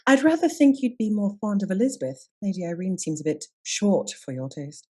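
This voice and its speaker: A woman's voice: mid-range voice, big pitch swings, a little fast, dark tone. A woman playing a dry, teasing courtroom spectator murmuring asides in a period radio play. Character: dry, teasing female onlooker at a trial.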